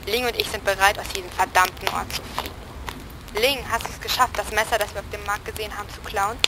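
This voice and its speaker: Woman playing fast-talking determined escapee. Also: medium pitch, steady tone, noisy background, lightly acted. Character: determined young female escapee making audio diary. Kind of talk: amateur audio drama acting.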